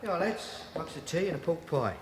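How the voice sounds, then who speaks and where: A man's voice: on the high side, expressive, moderate pace, a little hiss or hum, lightly acted. An actor playing a chatty, joking male police detective in a radio drama, casual and jocular. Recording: long reverb, faint background ambience.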